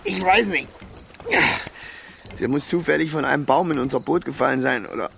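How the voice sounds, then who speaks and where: A meek, gravelly young-ranking soldier. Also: male voice, on the high side, noisy background. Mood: straining, unsure. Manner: hesitant, halting, meek. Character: lonely, melancholy, submissive junior soldier on patrol. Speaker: actor in an audio drama. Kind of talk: audio drama dialogue.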